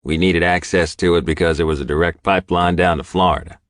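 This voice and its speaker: Very deep male audiobook narrator, close and dry. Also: steady tone, quick pace, a bit bright, dry recording. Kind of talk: scripted first-person fiction narration, gritty and dramatic.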